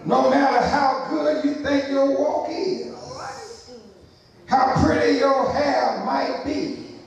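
A middle-aged man preaching a sermon as pastor, building to shouted emphasis and asking the congregation for response. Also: extremely high pitch, steady tone, takes it slowly, creaky. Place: church sanctuary, large, indoors. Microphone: pulpit microphone, far camera. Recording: very echoey church hall from a distance.

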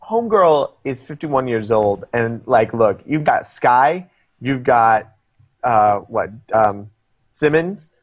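A young man guesting on a video-call podcast, talking eagerly in quick bursts and laughing, recorded through a webcam in a small room. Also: medium pitch, dry recording, dull audio. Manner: animated, enthusiastic, fast bursts, laughing. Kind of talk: casual chat reviewing a TV episode.

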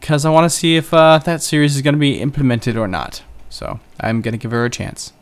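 A man's voice: medium pitch, fairly even intonation, brisk, smooth. A young man casually narrating his own computer game play, rambling and joking at himself as he goes. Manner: chatty, rambling, self-joking. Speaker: gaming channel host. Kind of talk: casual Let's Play commentary.

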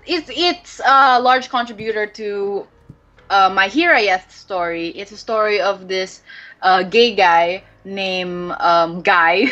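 A woman's voice: mid-range voice, fairly bright tone, muffled recording. A woman talking casually as a podcast guest, rambling with lots of fillers and restarts. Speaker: podcast guest, webcomic creator.

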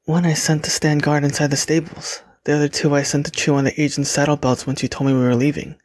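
Someone acting a nervous young ward in an audio drama, middling pitch, asks hesitantly. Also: flat delivery, fast talker, a little mellow, dry recording, natural voice. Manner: hesitant, questioning.

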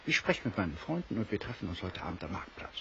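Radio-play engineer: cultured, formal, daring, low creaky voice, hissy muffled sound. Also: male voice, big pitch swings, fast talker, pushed and bright, heavily acted. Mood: resolved. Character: educated, cautious yet daring Northern engineer, a gentleman. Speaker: voice actor. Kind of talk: German audio drama acting. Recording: dull, hissy old recording.

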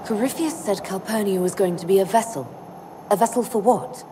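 Woman doing wry young elf boss lady in a game, husky n mellow, dry, echoey hall. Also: mid-range voice, fairly quick, a little hiss or hum, natural voice. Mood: questioning. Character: wry, inquisitive young female elf leader. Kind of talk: scripted video game dialogue. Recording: long hall reverb.

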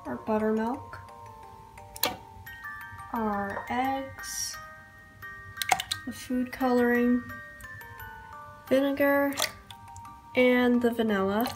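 High-pitched young woman, unhurried. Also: steady tone, over music. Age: young adult. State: sick with a cold. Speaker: baking vlogger. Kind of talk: casual recipe tutorial narration. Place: home kitchen, indoors.

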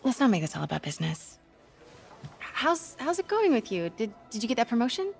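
A woman's voice: a little high, very animated, a little fast, gravelly, faint music behind. An American woman in her twenties playing a candid, guarded young reporter in a game cutscene, wry and probing. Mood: wry, curious. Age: 20s. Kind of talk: naturalistic video game cutscene acting.

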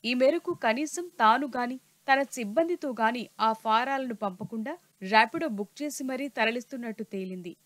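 A woman reads a scripted television news report in a steady, formal manner. Her voice sits a little high, and the recording is close and dry. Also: fairly quick, no echo.

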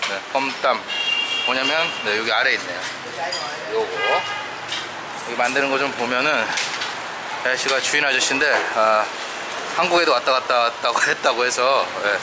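A man's voice: mid-range voice, normal speed, pauses often. A man casually narrating a food vlog, chatting about dishes and prices on camera at street restaurants. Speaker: travel vlogger. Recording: loud street noise, traffic.

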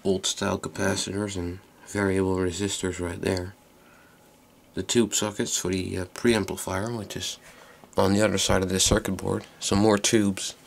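Guy with a lowish gravelly voice doing a casual show and tell, bit of background noise. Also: flat delivery, normal speed. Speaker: hobbyist video maker. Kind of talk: casual hands-on demonstration, unscripted. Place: small indoor workroom. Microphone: handheld camera microphone.

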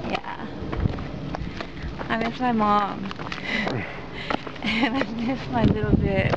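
Slow-talking woman on noisy camera audio. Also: fairly high pitch, fairly even intonation, lo-fi sound. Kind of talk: casual chat. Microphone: handheld camera.

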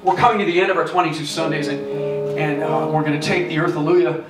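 A man's voice: fairly high pitch, steady tone, fast. A man preaching theatrically on stage into a handheld mic, fervent and rousing, repeating himself as he builds. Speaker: performance preacher, host. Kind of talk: theatrical sermon. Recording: echoey hall, distant mic, loud music.

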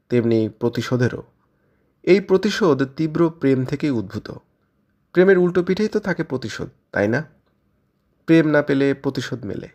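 A man at a middle pitch speaking to his listeners after a story reading. He talks it over reflectively, posing questions to the audience as he goes. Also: a little mellow. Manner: reflective, addresses listeners directly. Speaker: audio story channel host. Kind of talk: literary commentary.

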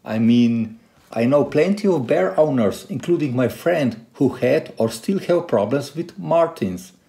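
Animated man vlogger, lively pitch swings. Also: measured pace, gravelly. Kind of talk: presenting to camera and narrating a car tech video.

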